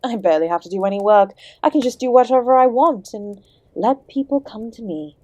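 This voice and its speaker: A woman's voice: fairly high pitch, expressive, fairly quick, warm tone, dry recording. A woman playing the Devil in an audio roleplay as a charming, sardonic, ancient hostess who speaks to the listener with genteel, theatrical courtesy. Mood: breezy, worldly. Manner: genteel, teasing, theatrical, calling the listener "my dear". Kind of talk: scripted audio roleplay, addressing the listener directly.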